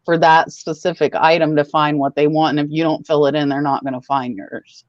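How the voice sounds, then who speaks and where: A chatty woman hosts a casual livestream and rambles along in a brisk, matter-of-fact way. Her voice comes through dull and lo-fi. The sound is dry, with no room echo. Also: sits low, little pitch movement. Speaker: host.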